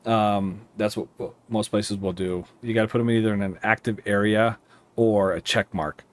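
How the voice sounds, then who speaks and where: A man with an American accent giving casual, explanatory commentary over a simulator game, thinking aloud in a halting, rambling way. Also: lowish voice, fairly even intonation. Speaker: air traffic controller streaming a simulator game.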